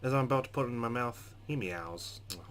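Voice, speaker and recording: A man's voice: mid-range voice, expressive, brisk, a bit bright. A man playing a visual novel, reading the narration aloud expressively with casual, wry asides over game music. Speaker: let's player. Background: game music with guitar.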